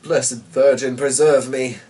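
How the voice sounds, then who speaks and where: Man putting on a blustering old knight, gruff and exclamatory. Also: medium pitch, fairly even intonation, unhurried, mellow, distant mic, natural voice. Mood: grumbling. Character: blustering, grumbling old knight and devoted father.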